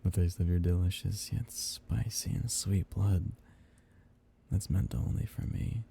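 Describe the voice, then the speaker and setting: Man voicing a dark, gravelly, seductive vampire captor. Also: sits low, fairly even intonation, a little hiss or hum. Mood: seductive, hungry. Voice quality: dark, breathy, gravelly murmur. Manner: teasing, slow-savoring, condescendingly tender. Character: seductive, possessive male vampire captor, smugly amused. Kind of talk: intimate ASMR roleplay audio drama.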